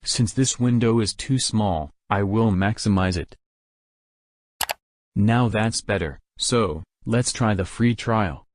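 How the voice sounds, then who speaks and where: A man's voice: on the low side, slow, a little hiss or hum. A man voicing a demanding, easily annoyed computer user who complains bluntly in a cartoon skit.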